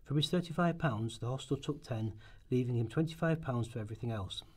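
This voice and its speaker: A man's voice: mid-range voice, fairly quick, slightly rough. A man reading scripted narration aloud for a radio play.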